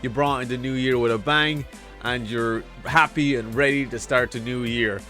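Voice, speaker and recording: A man presents a reaction video in a casual, excitable manner. His voice sits at an average pitch, and faint music plays behind him. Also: normal speed. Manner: hyped, repeats lines he enjoys, says dude a lot. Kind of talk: casual chatty reaction commentary.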